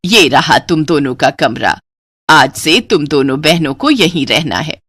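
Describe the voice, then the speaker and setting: A woman voicing a bossy older mother-in-law in a family cartoon, with a drawn-out, instructing manner. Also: low voice, animated, a bit bright, breathy edge, no echo, voice pushed down, full character voice.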